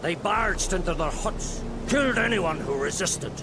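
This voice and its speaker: An actor playing a pompous, indignant older druid scholar in a video game, with a theatrical, scolding manner. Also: male voice, sits high, expressive, a little slow, heavy background noise. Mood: curious, grave. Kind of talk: video game cutscene acting. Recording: music and effects behind.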